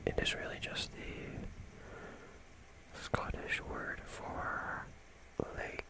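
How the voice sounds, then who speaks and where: An ASMR host speaking in a breathy, unvoiced whisper, gently rambling and softly repeating words in a soothing storytelling style. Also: extremely slow.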